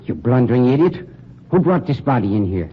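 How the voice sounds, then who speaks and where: A man's voice: mid-range voice, big pitch swings, brisk, natural voice. An actor playing a polished, scheming funeral director who talks down to his night man, in 1940s radio drama style. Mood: menacing. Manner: smooth, condescending, sneering. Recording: dull, noisy old radio recording.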